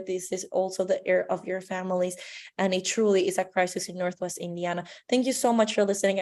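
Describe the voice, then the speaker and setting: A woman's voice: mid-range voice, a little mellow. A young woman from Colombia giving earnest, pleading public testimony at a hearing. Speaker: public commenter.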